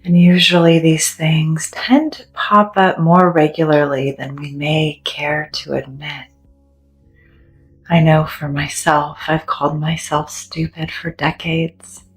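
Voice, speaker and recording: A woman leading a guided meditation in a gentle, soothing, deliberate way, leaving long pauses between phrases. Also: low-pitched, little pitch movement, rough recording. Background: soft background music.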